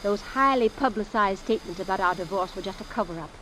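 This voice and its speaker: An actress playing a distraught, accusing American wife, dramatic and insistent, in old-time radio drama style. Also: female voice, medium pitch, quick pace, fairly bright tone, natural voice. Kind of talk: 1940s-50s radio drama acting. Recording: noisy vintage radio recording.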